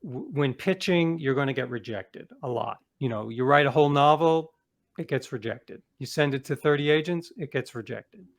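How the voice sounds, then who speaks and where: Male interview guest, mid-pitched, self-assured storyteller, reflective and conversational, slips into quoting his own thoughts. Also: moderate pace. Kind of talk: conversational interview, personal anecdotes.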